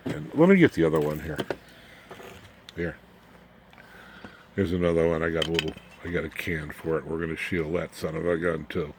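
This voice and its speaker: A man with a deep, low voice walks through electronics as a hobbyist presenter. His delivery is informal, folksy and plain-spoken, wandering a little as he shows each piece. Some background noise and room ambience sit under the voice. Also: moderate pace, pauses often. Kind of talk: informal hands-on technical demonstration.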